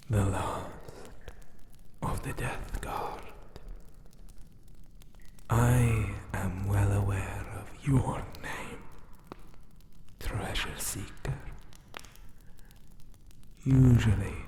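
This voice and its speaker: A man voicing a solemn, ominous Anubis tomb guardian monster in a breathy, formal ASMR roleplay. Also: lowish voice, roomy sound. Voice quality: breathy, whispery.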